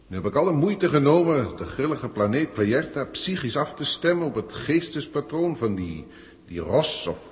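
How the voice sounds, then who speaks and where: A man's voice: mid-range voice, very animated, close to an everyday voice. An exasperated senior alien bureaucrat played by a man in an old Dutch radio drama, with a Netherlands Dutch accent. He is pompous and pedantic, weighing his words formally, and his voice rings in a long hall reverb. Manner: formal, pedantic, grumbling, deliberate hesitations. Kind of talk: 1960s Dutch radio play acting.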